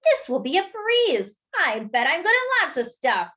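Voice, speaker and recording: A woman's voice: high-pitched, sing-song, unhurried, pushed and bright, voice pushed up, heavily acted. A woman voicing a talkative little male doughnut, eager and dramatic, in a children's storybook reading.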